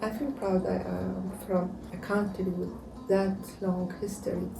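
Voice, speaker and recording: A woman from Iraq with an Iraqi accent, answering interview questions in halting, non-native English phrasing. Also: mid-range voice, flat delivery, gravelly. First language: Arabic. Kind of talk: interview answers about home country. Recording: roomy, distant mic, music underneath.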